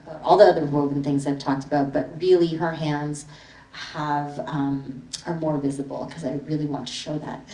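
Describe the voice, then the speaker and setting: Low-voiced woman in an echoey hall. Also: moderate pace, recorded from a distance. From Philippines. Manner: relaxed, meandering, many ums and likes. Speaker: artist presenting her work. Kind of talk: casual gallery talk with slides. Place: gallery event space with audience.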